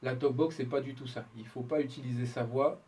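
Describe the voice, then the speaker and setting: A middle-aged man explains his craft as an interviewed musician, informal and colloquial, halting and correcting himself. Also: medium pitch, fairly even intonation, a little mellow. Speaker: interviewed musician, talkbox player and producer. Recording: distant mic, noisy room background.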